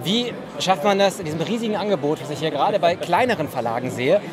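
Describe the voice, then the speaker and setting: High-voiced young man interviewing amid crowd noise. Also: expressive, fast talker. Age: young adult. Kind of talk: casual on-location interview. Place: book fair booth in a large hall. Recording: busy trade fair hall chatter.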